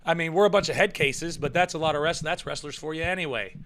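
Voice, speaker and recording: Bright-voiced man chatting on a live stream. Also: a little high, fast talker. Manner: rambling, repeats himself, chuckles often. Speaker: live show host and pro wrestler. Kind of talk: casual live-stream chat reading viewer comments.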